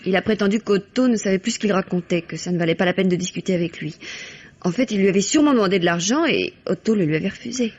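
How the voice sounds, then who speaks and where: A woman's voice: medium pitch, expressive, fast talker, darkish tone, breathy edge. An actress playing an anxious, grieving young woman in a French radio mystery, reserved and hesitant. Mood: anxious, confiding. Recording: dull old radio recording.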